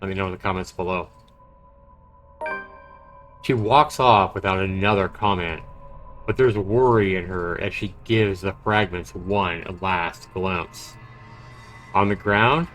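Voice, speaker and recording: A man's voice: low-pitched, slow, long pauses, fairly bright tone, soft background music. A man livestreaming a horror visual novel, reading it aloud dramatically and chatting casually about it. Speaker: livestreamer playing and narrating a game.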